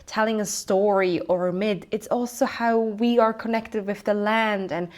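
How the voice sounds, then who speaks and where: A young woman from Switzerland with a Swiss German accent, a lifestyle vlogger calmly introducing herself in gentle, reflective speech with slightly non-native phrasing. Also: mid-range voice, moderate pace. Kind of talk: scripted personal introduction.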